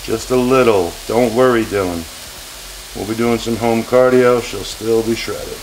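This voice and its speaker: A man's voice: lowish voice, fairly even intonation, unhurried, a little hiss or hum, poor audio. A man in his forties hosting a cooking vlog in his home kitchen, brash, joking and profane. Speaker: cooking show host. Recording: sizzling frying pan behind.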